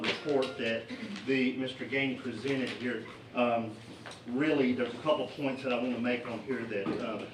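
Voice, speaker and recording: A middle-aged man, a parent, giving public comment at a school board meeting, earnest and halting with many fillers. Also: mid-range voice. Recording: echoey meeting room, distant mic, noisy.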